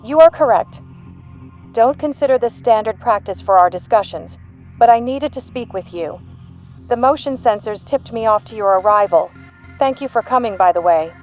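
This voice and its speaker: A woman's voice: fairly high pitch, a little mellow, close to an everyday voice. A woman playing a shrewd, unflappable human intelligence general in an audio drama, composed, dry and authoritative. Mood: businesslike.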